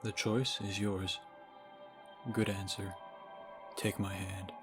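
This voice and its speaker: A man narrating a scripted video game review in a sardonic, casually profane way, recorded on a low-grade microphone. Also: little pitch movement, slow pace. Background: game music.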